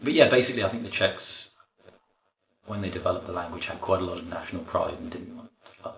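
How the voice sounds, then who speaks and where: A deep-voiced man gives casual, slightly wry interview answers, hesitating as he goes. The recording is roomy and echoey, with him set back from the microphone. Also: quick pace, gravelly, a bit bright, recorded from a distance. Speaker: interviewee in a documentary.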